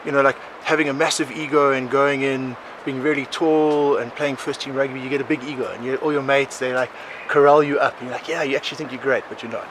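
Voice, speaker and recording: A South African man in his forties, a podcast guest, telling his life story in a rambling, animated way, often laughing. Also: mid-range voice, fast, few pauses. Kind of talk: casual conversational storytelling. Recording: outdoor recording with clear background noise.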